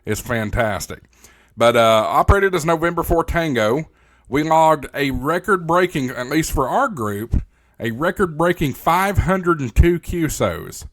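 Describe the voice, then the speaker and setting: A man's voice: medium pitch, fairly bright tone. A man hosting a ham radio channel, talking in a casual, folksy presenter style straight to his viewers.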